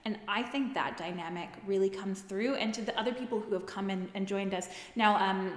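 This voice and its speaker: A woman speaks casually in an interview at a middling pitch. The room is very echoey, with a long reverb. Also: a little fast. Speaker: theatre company founder and performer.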